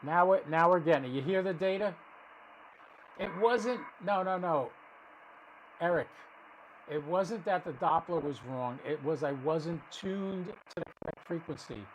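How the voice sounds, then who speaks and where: A man's voice: on the high side, a little slow, a little hiss or hum, rough recording. A man giving casual live commentary as a radio stream host, thinking aloud and explaining as he goes, often restarting his sentences. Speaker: ham radio livestream host.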